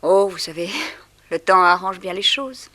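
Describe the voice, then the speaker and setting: An actress playing a poised, gently teasing young newspaperwoman in a 1960s French radio drama. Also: lowish voice, unhurried. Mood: guarded, resigned.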